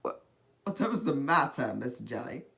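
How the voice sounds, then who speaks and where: A reader as a loud, friendly storybook man. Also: big pitch swings, moderate pace, creaky, slightly rough, exaggerated voice. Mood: concerned. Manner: booming, trying to hold himself back.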